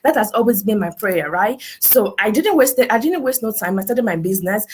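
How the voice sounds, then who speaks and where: Woman in her 30s, husky tone, earnest webinar testimonial, chatty asides. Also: medium pitch, expressive, fairly quick, a little mellow. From Nigeria. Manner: earnest, peppered with "you know", "right?" and "guess what". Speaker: business testimonial speaker. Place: her house in Nigeria.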